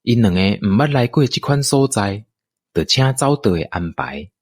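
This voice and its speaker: A male narrator tells a radio-play story in a Taiwanese accent. His low, slightly husky voice moves in lively swings of pitch, close and dry. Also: normal speed, no room sound. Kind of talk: scripted radio drama storytelling.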